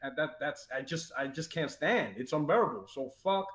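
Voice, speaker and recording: A man on a casual podcast tells a story with plenty of energy and acts out the people he talks about. His pitch swings widely. The room gives his voice a noticeable echo. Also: normal speed. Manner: animated storyteller, mimics callers, laughs often. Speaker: podcast co-host.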